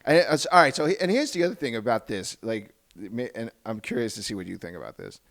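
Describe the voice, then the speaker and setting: A man's voice: medium pitch, big pitch swings, fast talker, gravelly, a bit bright. A man hosting a podcast in casual chat, informal and slangy, often repeating and rephrasing as he goes. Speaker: podcast host. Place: podcast recording.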